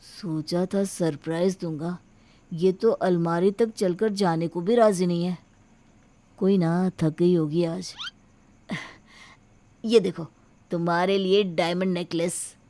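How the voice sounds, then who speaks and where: Woman playing a low-pitched, mild young man. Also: fairly even intonation, slow pace, pauses often, warm tone. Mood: affectionate. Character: mild, trusting young man, brother-in-law and bridegroom. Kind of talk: animated moral storybook acting.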